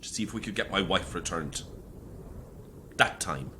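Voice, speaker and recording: A man's voice: low voice, lively intonation, moderate pace, bright, forceful tone, a little hiss or hum. A man acting an intense, prickly Irish priest and bereaved father in an audio drama, preaching and combative. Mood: prickly, bitter.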